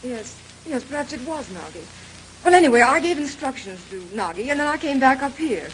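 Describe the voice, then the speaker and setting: Anxious excitable wife, high voice, melodramatic, old radio drama, faint noise. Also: female voice, fairly quick, poor audio. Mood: uneasy, explaining. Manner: fretful, melodramatic. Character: anxious, excitable wife fearing for her husband. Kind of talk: old-time radio mystery acting.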